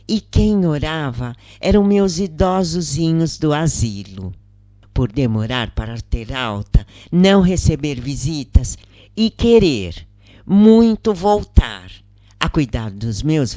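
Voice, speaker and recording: A Brazilian woman narrates a first-person tale in a low register. Her delivery is unhurried and dramatic, with wide swings in intonation, captured in a close, dry recording. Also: warm tone, dry recording. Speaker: narrator reading a spiritist story aloud.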